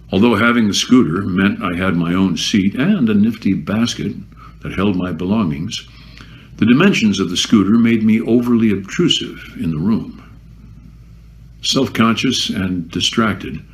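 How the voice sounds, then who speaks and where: A man with a very low voice reads a first-person memoir aloud. His narration is measured, with a dry, wry edge. A faint noise sits under the recording. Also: low-quality recording. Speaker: guest reader.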